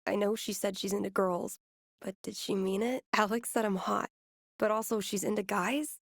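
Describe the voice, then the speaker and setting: A woman acts a geeky young woman from a video game. She talks in a casual, slangy way with a dry, sardonic edge and a playful streak. The sound is close and dry, with a slight creak in the voice. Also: mid-range voice, fairly even intonation, moderate pace, no room sound, lightly acted. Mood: lovestruck, uncertain. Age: young adult. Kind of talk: naturalistic game voice acting.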